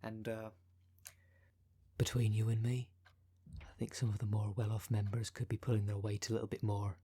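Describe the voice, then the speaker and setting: A man playing a smooth-talking, con-artist female minister of a demon cult in an ASMR roleplay, with a polished, unctuous sales manner. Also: lowish voice, flat delivery, moderate pace, mellow. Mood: persuasive. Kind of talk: ASMR roleplay audio drama.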